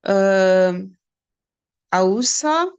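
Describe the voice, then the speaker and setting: A woman teacher from Nigeria with a Nigerian accent, explaining beginner German phrases and repeating example words slowly one at a time. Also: mid-range voice, expressive, clean tone. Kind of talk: slow classroom explanation.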